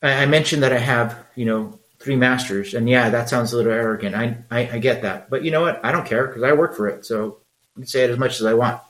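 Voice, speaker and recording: A man's voice: medium pitch, fast, fairly bright tone. Middle-aged Mexican-accented man in an echoey room. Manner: frank, conversational, rambling with asides. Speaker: podcast host. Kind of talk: solo podcast monologue.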